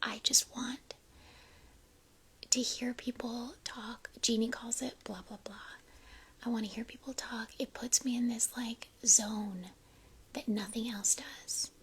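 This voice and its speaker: A woman whispering softly through a livestream in a quiet home room, chatty and a little self-conscious, speaking into a cheap microphone while holding her phone. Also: a little high, steady tone, slow, slightly rough. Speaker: livestream host. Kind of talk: whispered ASMR-style livestream chat. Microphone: cheap USB microphone, phone held in hand.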